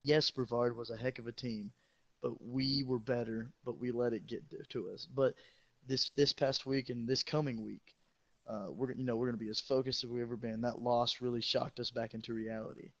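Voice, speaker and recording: A teenage boy, a high school football player, telling stories in a casual, profane and boastful way. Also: fairly even intonation, moderate pace, dry recording. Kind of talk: casual storytelling chat. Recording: dull lo-fi sound.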